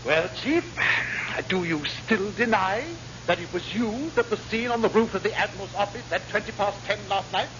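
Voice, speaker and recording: Man playing a flustered, high-pitched English navy captain. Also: moderate pace, natural voice. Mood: exasperated. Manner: blustering, easily flustered. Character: exasperated, pompous male navy captain. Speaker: actor in radio comedy. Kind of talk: classic British radio sitcom acting. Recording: muffled, noisy old radio recording.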